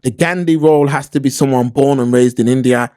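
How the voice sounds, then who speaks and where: A man's voice: mid-range voice, steady tone, brisk, mellow. A man with a London accent giving opinions in casual podcast talk, slangy and emphatic, repeating points for stress. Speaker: podcast host.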